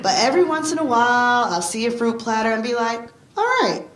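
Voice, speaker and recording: A woman's voice: fairly high pitch, lively intonation, fairly quick, fairly bright tone, reverberant, some chatter behind, a little hiss or hum. A woman playing a flippant, spendthrift young roommate who does amateur stand-up, with a wry, punchline-driven delivery in a comedy. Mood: wry, joking. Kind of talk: sitcom acting, observational stand-up delivery.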